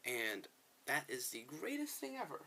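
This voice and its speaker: A man's voice: on the high side, huge pitch swings, moderate pace, gravelly, pushed and bright, slight background noise. A man hosting a gaming video with casual gameplay commentary, often repeating words for emphasis.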